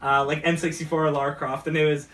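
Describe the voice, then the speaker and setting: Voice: mid-range voice, little pitch movement, fast, a bit bright. A talk show host chatting casually in a rambling, hesitant way. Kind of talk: casual chat. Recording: distant mic.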